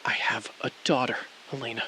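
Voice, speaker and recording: A man playing an anxious, guilt-ridden ground controller in an audio drama, halting and pleading, often trailing off mid-sentence. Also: medium pitch, fairly even intonation, sharp tone, a little hiss or hum, natural voice, low-quality recording. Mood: shaken. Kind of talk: scripted audio drama, dramatic phone-call acting.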